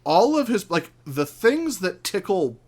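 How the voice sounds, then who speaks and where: A man guesting on a podcast, chatting casually in a halting, incredulous way. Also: high-pitched, very animated. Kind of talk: casual chat.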